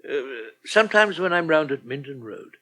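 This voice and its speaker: Fussy, gloomy old Englishman, bright-voiced. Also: fairly high pitch, expressive, normal speed. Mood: mournful, wry. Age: older. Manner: plaintive, dry, genteel grumbling. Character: frail, self-pitying old man, fussy and drily gloomy. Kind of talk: British radio soap acting.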